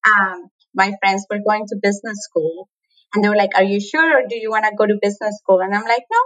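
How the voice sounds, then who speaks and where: A woman's voice: mid-range voice, fairly quick, a bit bright, rough recording. A woman from Colombia with a Colombian accent, a native Spanish speaker, talking reflectively as an interview guest and laughing often. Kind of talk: conversational interview.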